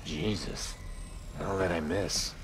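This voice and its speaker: Very deep, gravelly, grim vigilante. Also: male voice, slow, noisy background. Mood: weary. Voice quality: very deep, gravelly, half-whispered rasp. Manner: terse, deadpan. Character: weary, grim middle-aged male vigilante, terse and guarded. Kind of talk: video game cutscene acting. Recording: music behind the voice.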